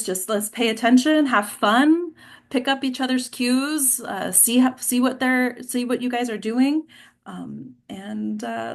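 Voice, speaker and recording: A woman hosting a table read and narrating a screenplay's stage directions. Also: on the high side. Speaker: host and stage directions reader.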